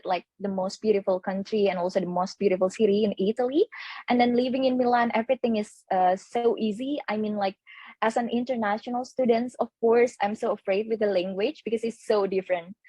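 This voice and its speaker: A woman's voice: medium pitch, a little fast, warm tone, breathy edge, no room sound. A young woman from Indonesia, an international student, answering enthusiastically in a webinar, with phrasing. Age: young adult. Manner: enthusiastic, non-native phrasing. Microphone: video call.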